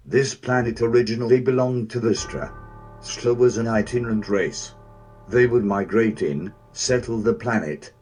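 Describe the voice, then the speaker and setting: A man playing a cold, bitter, grandiose warrior villain in a fan-dubbed video game, speaking in a measured, contemptuous way. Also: fairly low pitch, a little mellow, breathy edge.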